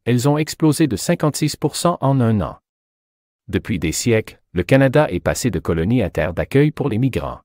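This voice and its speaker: A man playing a measured expert journalist explaining things as a guest in a scripted radio interview. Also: lowish voice, darkish tone, no echo, clean studio sound. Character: measured male journalist, immigration specialist, explaining.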